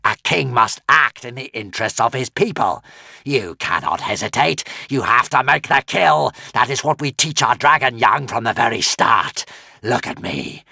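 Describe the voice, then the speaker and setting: A man voicing a huge, tormented male dragon, hissing slowly and with menace. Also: mid-range voice, animated, gravelly, pushed and bright, slightly rough, no room sound, studio quality, pitched above the performer's own voice, full character voice. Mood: furious.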